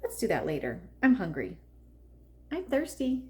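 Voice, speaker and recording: A woman reading a children's picture book aloud expressively, with deliberate phrasing and drawn-out emphasis. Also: a little high, wildly swooping pitch, dark tone. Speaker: read-aloud narrator for children.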